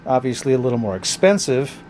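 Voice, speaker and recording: A man's voice: medium pitch, very animated, a little fast, a little mellow, slight background noise. A man giving a casual, blunt review of a cheap radio, thinking aloud as he tests it. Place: indoors at a tabletop.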